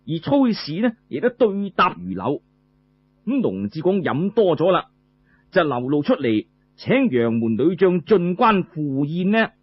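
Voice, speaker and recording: A man with a Cantonese accent narrating a traditional tale in a colloquial, phrase-by-phrase way. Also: high-pitched. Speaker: storyteller. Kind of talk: traditional oral storytelling narration. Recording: muffled lo-fi recording.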